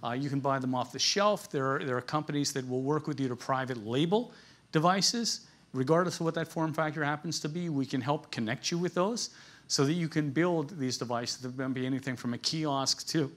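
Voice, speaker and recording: A man's voice: fairly high pitch, a little fast, a bit bright. A man giving an informal business presentation at a conference, talking in a conversational, lightly jokey storytelling way. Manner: conversational, jokey storytelling, chuckles at his own asides.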